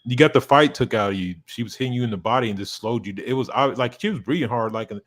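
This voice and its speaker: A man's voice: mid-range voice, quick pace. A man on a casual online boxing panel, blunt and slangy.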